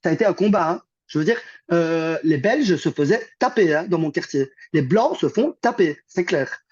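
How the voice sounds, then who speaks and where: High-voiced man, rambling with fillers. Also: expressive, normal speed, darkish tone, breathy edge, dry recording, lo-fi sound. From Belgium. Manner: rambling with many fillers and restarts. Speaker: guest. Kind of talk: casual conversation.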